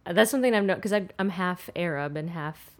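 A woman who grew up in the United States, chatting in a lively, animated way. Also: lowish voice, fast. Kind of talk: casual conversation.

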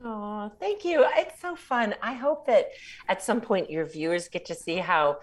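A woman's voice: medium pitch, lively intonation, a little slow. A woman in her sixties, a podcast guest and author, speaking in a chatty, reflective way and laughing often. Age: 60s. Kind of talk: casual interview chat.

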